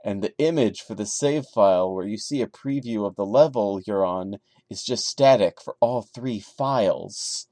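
A man's voice: on the low side, normal speed, hardly any pauses, mellow, no room sound, studio quality. A man hosting a podcast, giving a dramatic story reading broken up by sarcastic, mocking commentary. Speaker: podcast host.

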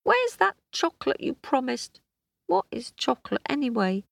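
A cheeky little crocodile from a storybook reading, played by a woman, squeaking his demands for chocolate in a very high, sing-song voice. The sound is close and dry. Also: big pitch swings, moderate pace, gravelly, no echo, exaggerated voice. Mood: greedy.

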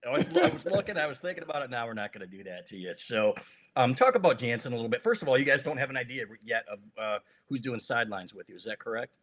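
A man's voice: mid-range voice, big pitch swings, fast talker, fairly bright tone. An American man interviewing a guest in a casual sports chat, with a teasing, bantering way of talking. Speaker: interviewer, host.

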